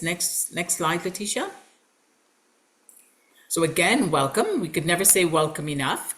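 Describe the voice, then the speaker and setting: A woman's voice: deep voice, fairly bright tone. A woman from Trinidad and Tobago, hosting an online information session as a college representative. She talks in an upbeat, welcoming way with frequent fillers, over a video call. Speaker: college recruitment representative and host.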